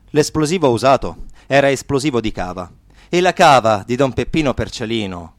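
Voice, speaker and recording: A man narrating a radio theatre piece with dramatic delivery, moving between hushed and emphatic phrases. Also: on the low side, no echo.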